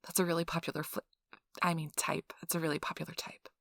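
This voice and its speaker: Woman playing a polite, creaky-voiced clinic interviewer. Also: deep voice, little pitch movement, fast, no room sound, clean studio sound. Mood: polite, businesslike. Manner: polite, officious, chatty, reassuring. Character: chipper, gossipy female interviewer at vampire blood donation center. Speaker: ASMR role-play performer. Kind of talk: ASMR role-play interview.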